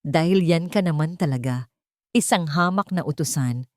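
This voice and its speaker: A woman's voice: sits low, normal speed, a little mellow, dry recording, clean studio sound, close to an everyday voice. A woman storyteller voicing a haughty young rich bride-to-be who talks with sweetly venomous contempt in a dramatic tale. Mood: scornful.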